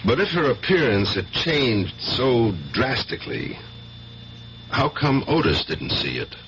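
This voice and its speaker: An actor playing a skeptical police sergeant with clipped, persistent questioning in a 1970s radio drama. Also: male voice, mid-range voice, sing-song, measured pace, a bit bright, a little hiss or hum. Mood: probing, skeptical. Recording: dull, hummy old radio recording.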